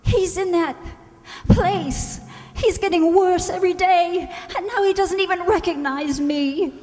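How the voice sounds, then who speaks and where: A woman playing a distraught young wife in a radio drama, anxious and halting, pleading for her sick husband. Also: very high-pitched, fairly even intonation, darkish tone. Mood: desperate. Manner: halting, anxious, breaking off mid-sentence. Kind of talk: old-time radio drama acting. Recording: roomy hall with long reverb.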